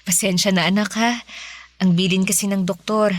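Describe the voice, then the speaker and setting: A Filipino woman playing a caring, protective mother who hides her worry in a radio drama, softly motherly. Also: mid-range voice, fairly even intonation, normal speed, dry recording, lightly acted. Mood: caring, covering worry.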